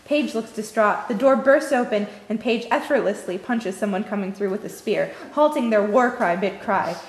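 A woman's voice: mid-range voice, moderate pace. A young woman reading stage directions as scripted narration at an informal table read. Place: indoor lounge with a seated group reading scripts. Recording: echoey room with long reverb.

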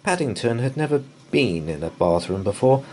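A man reading a bedtime story aloud in an expressive, deliberate way. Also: medium pitch. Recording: faint background noise.

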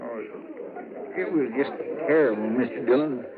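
An actor playing a folksy, soft-hearted marshal's helper with a rural American accent in a 1950s radio western. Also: male voice, medium pitch, moderate pace, phone-quality sound, crowd noise, natural voice. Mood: concerned. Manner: folksy, drawn to exclaiming. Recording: thin old 1950s radio recording, background noise.

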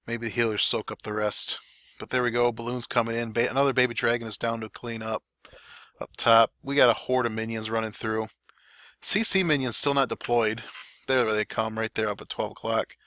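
A man giving relaxed, chatty play-by-play commentary over game replays, his throat a little dry. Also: medium pitch, brisk, no room sound. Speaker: clan war recap commentator.

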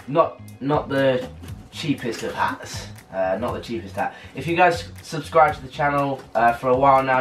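A man in his twenties with a British accent chats casually and haltingly to camera as a vlogger, trailing off at the ends of phrases. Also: on the low side. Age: 20s. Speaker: vlogger unboxing a purchase. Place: small bedroom, later a bathroom. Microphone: camera a couple of metres away.

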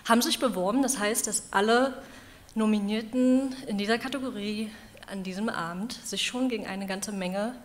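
A woman's voice: mid-range voice, normal speed. A woman on a theater stage announcing a category winner, earnest and a little hesitant. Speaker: guest presenter at awards.

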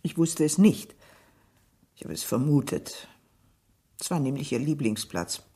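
An actress playing a bereaved middle-aged shopkeeper mother in a German radio crime drama, halting, formal and restrained. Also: extremely low pitch, sing-song, dark tone, slightly rough, heavily acted. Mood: subdued, distressed. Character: bereaved village shopkeeper mother, guarded and subdued.

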